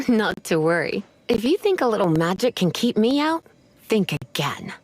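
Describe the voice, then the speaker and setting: A woman playing a poised, teasing, confident sorceress librarian in a video game, with a measured, articulate and coy way of talking. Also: mid-range voice, big pitch swings, soft background music. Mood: playful, confident.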